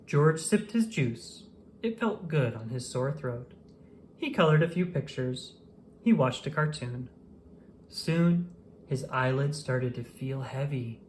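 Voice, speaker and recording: A person reading a children's storybook aloud in an expressive, animated way with dramatic emphasis. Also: medium pitch, slow pace, a little hiss or hum. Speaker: children's book reader.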